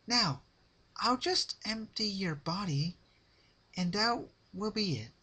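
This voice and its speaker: A man's voice: sits high, expressive, slow, sharp tone, husky, pitched above the performer's own voice, heavily acted. A man voicing a cheerful but sinister female pony killer in a storybook reading, with sing-song, matter-of-fact menace. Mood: chillingly cheerful.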